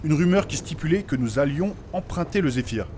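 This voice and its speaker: Man acting pompous male mage, polite, formal precious diction, fairly high voice, fantasy audio drama, faint vehicle noise. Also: expressive, fairly quick, darkish tone, lightly acted. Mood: formal, measured. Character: courteous, pompous, easily shocked male mage explaining lore.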